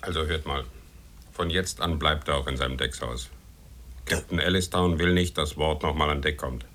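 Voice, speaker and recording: Ship's officer, radio drama: curt, commanding, extremely low, forceful. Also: male voice, fairly even intonation, normal speed. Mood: curt authority. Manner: curt, authoritative. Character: stern, curt male first mate of sailing ship. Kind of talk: German radio drama acting.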